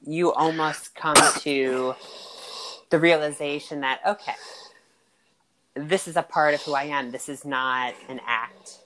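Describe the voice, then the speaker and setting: Man, high-pitched voice, expressive, animated, podcast guest. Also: a little hiss or hum, low-quality recording. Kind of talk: podcast conversation.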